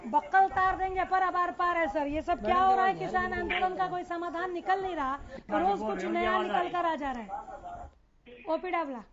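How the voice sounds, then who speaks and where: A woman's voice: very high voice, moderate pace, over a noisy crowd. A woman news anchor moderating a live television panel debate. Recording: dull, band-limited broadcast audio.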